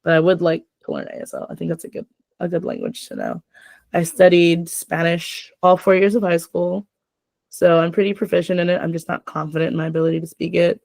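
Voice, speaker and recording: A woman's voice: fairly low pitch, sing-song, fairly quick, gravelly, darkish tone, no echo. A young adult woman hosting a casual chat, rambling with lots of filler words.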